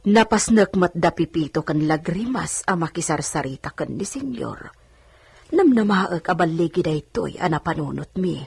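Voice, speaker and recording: A woman's voice: on the low side, animated, normal speed, vocal fry, a little mellow, slightly rough, heavily acted. A woman playing a chatty, teasing housemaid in an audio drama, talking in a familiar, advising way. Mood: chatty, respectful.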